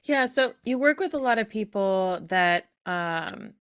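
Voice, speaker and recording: A woman's voice: medium pitch, animated, measured pace, a bit bright, clear, resonant tone. A woman hosting a podcast in a conversational style, introducing and questioning as an interviewer does. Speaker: podcast host.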